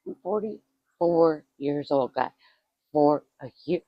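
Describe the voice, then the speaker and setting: A woman's voice: low voice, slow pace, no echo, dull audio. A woman in her forties chatting casually to her vlog audience at home, self-mocking and swearing freely. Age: 40s. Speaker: vlogger.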